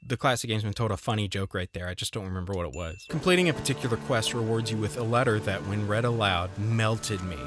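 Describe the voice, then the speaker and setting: A man narrating a scripted comedic game review in a wry, sarcastic way, jumping high in pitch for his jokes. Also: fast, few pauses. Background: music.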